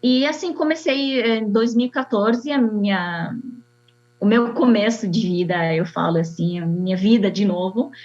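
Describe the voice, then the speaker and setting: A young woman from Syria with an Arabic accent, an architect telling her story slowly and haltingly, with many fillers. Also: mid-range voice, darkish tone. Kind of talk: personal testimony. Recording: dull lo-fi sound.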